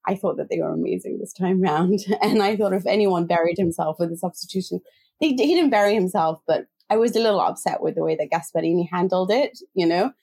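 A woman's voice: lowish voice, a little fast, vocal fry, darkish tone, breathy edge. A woman co-hosting a football chat, talking casually and often adding "you know". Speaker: football podcast co-host.

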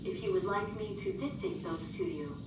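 A woman voicing a composed ship computer in an audio drama, formal and measured, with echoing processing. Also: mid-range voice, animated. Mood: calm, procedural. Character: composed female ship computer guiding a survivor. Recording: echoey, distant, heavy ambient noise.

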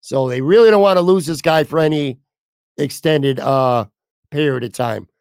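A man hosting a solo sports podcast, hoarse as he loses his voice, blunt and casual with some swearing. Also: a little high, moderate pace, a little mellow, no echo, studio quality. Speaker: podcast host.

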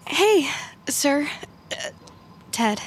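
A woman's voice: sits high, sing-song, very slow, background noise, exaggerated voice. An actress playing a nervy, scheming female office worker with a sly edge in an audio drama. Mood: nervous, pleading. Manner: chatty, hesitant, mild minced swearing. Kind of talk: naturalistic audio drama acting.

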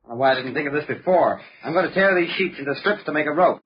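An actor playing a plucky, brash young American husband in an old radio drama, protective and defiant, with an American accent. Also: male voice, on the high side, expressive, racing, breathy edge. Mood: reassuring. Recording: muffled old radio recording, faint noise.

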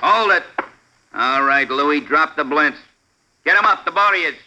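An actor playing a bullying, uneducated gangster in a 1950s radio crime drama, barking threats in slang with an American accent. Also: male voice, on the high side, animated, normal speed, background noise, natural voice. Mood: commanding. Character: menacing, uneducated gangster killer, bullying and smirking. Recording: muffled vintage 1950s radio recording.

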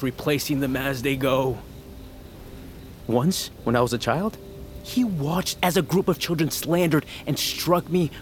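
An actor playing a wounded, bitter young noble son in a fantasy audio drama, earnest and brooding, with halting delivery. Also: male voice, on the high side, a little hiss or hum. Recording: music under the voice.

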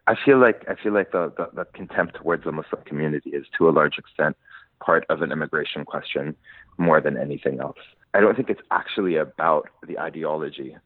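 A man from Lebanon speaks in a very deep voice, weighing his words and laughing lightly through his stories. His voice comes over a dry, narrow phone-like line. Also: fairly quick, dry recording. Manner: thoughtful, laughs while telling stories. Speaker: musician guest. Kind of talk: conversational interview.

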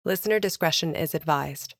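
Lowish, dry-sounding woman announcer. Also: fairly quick, creaky, no room sound. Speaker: announcer giving listener advisory. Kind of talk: formal scripted announcement.

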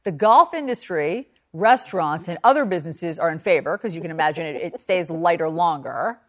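A woman's voice: on the low side, expressive, a little fast. A woman with an American accent co-hosting a television news programme, mixing presenting with light chat. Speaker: TV news co-host.

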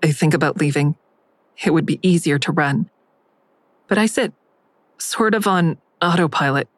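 Low, dry-voiced guarded daughter. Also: female voice, moderate pace, no echo. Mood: guarded, reflective. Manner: terse, confrontational. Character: guarded, bitter adult daughter of a dying mother. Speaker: lead actor narrating and playing a daughter. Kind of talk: audio drama acting with first-person narration.

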